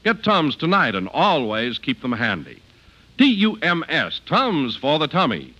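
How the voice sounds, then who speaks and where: A man's voice: fairly high pitch, huge pitch swings, measured pace, sharp tone, highs cut off. A man announcing in polished, emphatic 1950s radio style, reading scripted copy.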